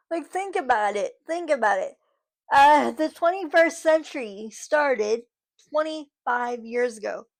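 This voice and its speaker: A woman in her thirties chats to her vlog audience, meandering through thoughts with many fillers and false starts. Her voice sits a little high, and she speaks at a slow, unhurried pace. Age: 30s. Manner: rambling, many fillers and restarts. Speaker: vlogger. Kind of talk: casual monologue to camera.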